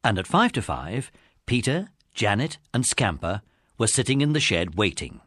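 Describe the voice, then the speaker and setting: British man, children's audiobook narrator, lively intonation, dry close sound. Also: on the low side, moderate pace, slightly rough, no room sound. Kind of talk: scripted children's story narration.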